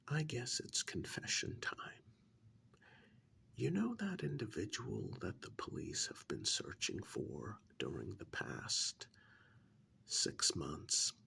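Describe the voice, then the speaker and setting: A man acts out a coldly menacing killer in an intimate ASMR role-play. His male voice is soft and breathy, often sinking into a whisper with a gravelly crackle. He speaks extremely slowly and deliberately, patronizing his captive with drawn-out pauses. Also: fairly low pitch, huge pitch swings, heavily acted. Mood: quiet menace.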